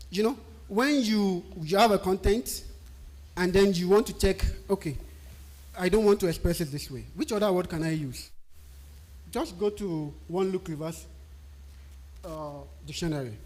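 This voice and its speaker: High-voiced Nigerian man explaining tech writing. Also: a little mellow. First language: a Nigerian language. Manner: explanatory, asks and answers own questions. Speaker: technical writing speaker. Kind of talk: live-stream presentation.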